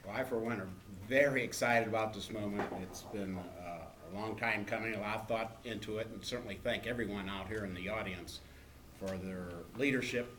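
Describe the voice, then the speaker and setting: An American man giving halting, unscripted remarks as a council member at a public meeting. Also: lowish voice, fairly bright tone. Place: indoor council chamber. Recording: noisy meeting room.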